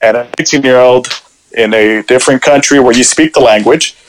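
A man in his forties, originally from Puerto Rico, talks as a podcast guest. He tells his story in a loose, meandering way, full of "you know" fillers. His voice sits at an ordinary middle pitch. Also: brisk, no echo, poor audio. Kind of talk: casual storytelling chat.

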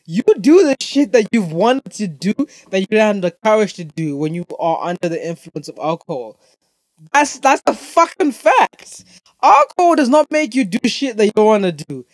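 A man's voice: high-pitched, sing-song, normal speed, no echo, low-quality recording. A young man from Zimbabwe hosting a podcast, ranting casually and emphatically in slangy, profanity-heavy speech and repeating his points. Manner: emphatic, repetitive, heavy swearing. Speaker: podcast host. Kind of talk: casual opinionated rant, profanity-laden slangy chat.

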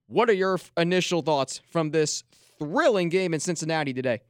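Excited man hosting a sports podcast. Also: a little high, a little fast, no echo, clean studio sound. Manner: excited, emphatic, addresses co-host by name. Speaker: podcast host. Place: radio studio.

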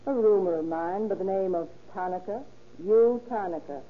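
A woman's voice: medium pitch, moderate pace, natural voice. An actress playing a blunt, wary rooming-house landlady in an old-time radio drama, curt and folksy with loose grammar. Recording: dull old radio recording.

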